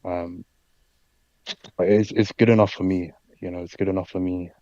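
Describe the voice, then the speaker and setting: A man who is a guest on a casual online chat, talking in a laid-back, halting way over a dull lo-fi mic. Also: low voice, level tone, mellow, dry recording.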